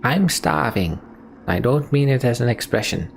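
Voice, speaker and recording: Grousing male game warrior, man acting, blunt and wry, raised pitch, gravelly edge. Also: expressive, normal speed. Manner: blunt, complaining. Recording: background noise.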